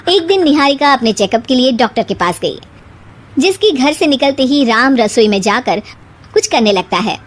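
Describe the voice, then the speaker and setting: Story narrator, woman: scripted children's tale, lively melodic intonation, highish voice. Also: moderate pace.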